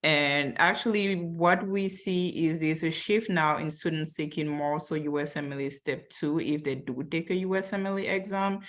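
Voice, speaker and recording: A woman's voice: low voice, steady tone, moderate pace, hardly any pauses. A woman in her twenties from Cameroon, a medical student, reading audience questions aloud and adding her own experience in a chatty way.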